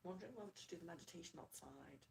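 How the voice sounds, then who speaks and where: A woman chatting casually on a live reading stream, apologetic and friendly as she reads out viewer comments, slightly hoarse from losing her voice. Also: fairly low pitch, very fast, a little mellow, a little hiss or hum. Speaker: livestream rune reader. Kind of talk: casual livestream chat.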